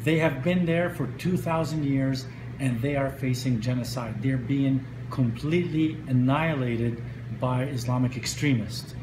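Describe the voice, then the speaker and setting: A middle-aged man from Lebanon and Egypt, speaking earnestly in a news interview, often pausing on uh. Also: mid-range voice. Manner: earnest, with frequent uh fillers. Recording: echoey room with steady noise.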